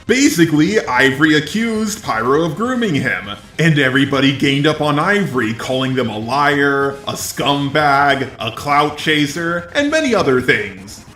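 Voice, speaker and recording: A man narrating scripted online commentary in a deliberate, sardonic manner. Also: on the high side, fairly bright tone. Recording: roomy echo, faint music. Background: faint music.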